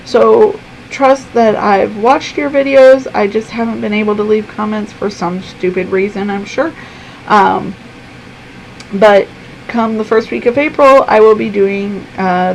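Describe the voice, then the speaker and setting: A woman chatting casually to camera in her room, her voice going hoarse, with plenty of ums. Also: mid-range voice, a little mellow, a little hiss or hum, low-quality recording. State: losing her voice. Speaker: vlogger. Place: her own room at home.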